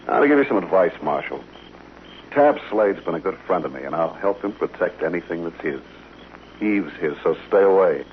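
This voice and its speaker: An American actor playing a hard, menacing gunman in an old radio western, speaking coolly and deliberately, with threat in his delivery. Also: deep voice, animated. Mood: warning. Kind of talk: 1950s radio western acting. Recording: dull, hissy old radio broadcast recording.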